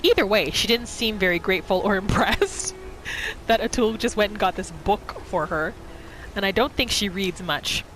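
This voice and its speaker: A woman's voice: medium pitch, expressive, normal speed, fairly bright tone, a little hiss or hum, rough recording. A woman narrating her video game roleplay in the third person, telling the story of her character's thoughts as she plays. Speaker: gaming roleplay host. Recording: music behind the voice. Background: game music.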